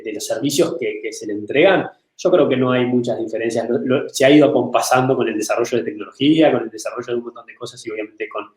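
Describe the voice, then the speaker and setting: Hesitant man, roomy echo. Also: lowish voice. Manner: hesitant, many repeated words. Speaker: panelist. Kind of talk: panel discussion.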